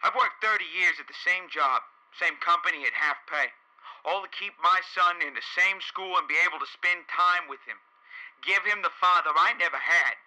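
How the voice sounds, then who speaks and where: Man acting a high, sharp-voiced distraught dad. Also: moderate pace, rough recording. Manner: pleading, overwrought. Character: distraught middle-aged father calling a radio show. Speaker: caller.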